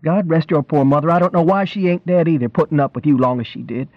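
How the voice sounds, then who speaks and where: A man's voice: a little high, fast, voice pushed up, lightly acted. A man voicing a small, angry, worried country wife who scolds in an exasperated rustic dialect. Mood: angry scolding. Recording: roomy, dull lo-fi reading.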